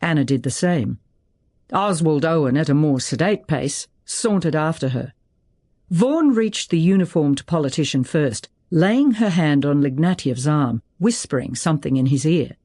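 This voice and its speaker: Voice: medium pitch, lively intonation, moderate pace, darkish tone. A narrator reading a dramatic novel aloud in a scripted storytelling style. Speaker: audiobook narrator.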